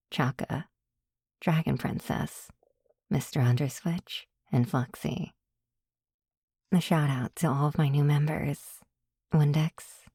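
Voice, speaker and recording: A woman reading scripted ASMR narration in a soft, breathy, intimate hush. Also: deep voice, no echo, studio quality. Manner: hushed, intimate. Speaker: audio drama writer and performer.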